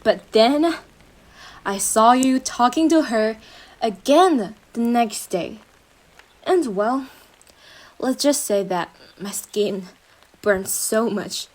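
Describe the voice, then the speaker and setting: A woman's voice: on the high side, animated, darkish tone, poor audio. A woman playing a young, obsessive yandere girlfriend in a roleplay monologue, syrupy and menacing, speaking intimately to her partner with a jealous intensity. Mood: simmering jealousy. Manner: slow, sugary endearments swinging into intense jealous outbursts. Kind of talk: dramatic roleplay monologue addressed to the listener.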